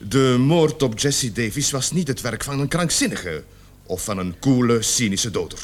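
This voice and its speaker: A man acting in a Dutch radio play as a gruff, authoritative police sergeant with deliberate, emphatic delivery. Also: mid-range voice, animated, close to an everyday voice. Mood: formal, emphatic. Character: gruff, authoritative male police sergeant leading a murder investigation. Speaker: radio drama actor.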